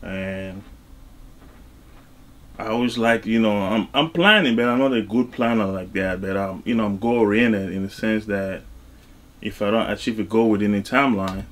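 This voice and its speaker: A man from Cameroon, talking casually as an interview guest in a halting way, with frequent asides. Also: lowish voice, a bit bright. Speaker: interview guest, music artist and label executive.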